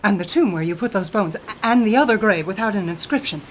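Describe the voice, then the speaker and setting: Woman acting skeptical scholar, mid-pitched, articulate, wry, questioning, radio horror drama. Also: animated, fast talker, slight background noise, lightly acted. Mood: skeptical, curious.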